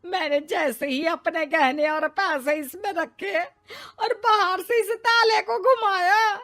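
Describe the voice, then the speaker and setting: A woman voicing a scolding, naive elderly mother-in-law in a cartoon story, with loud exclaiming rustic talk. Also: very high voice, slow pace, exaggerated voice. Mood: scolding, indignant.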